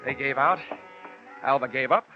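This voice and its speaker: A wry, wisecracking investigator played by a male actor recounts his case in dry detective-serial style. His mid-pitched voice comes over a muffled old radio broadcast with prominent music. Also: normal speed, close to an everyday voice. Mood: wry narration. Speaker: lead and narrator of a radio drama. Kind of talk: 1950s radio detective narration.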